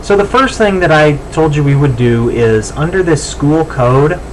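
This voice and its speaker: An American man giving an informal, step-by-step software tutorial as the instructor. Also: fairly high pitch, expressive, moderate pace, slight background noise, low-quality recording. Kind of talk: software walk-through tutorial.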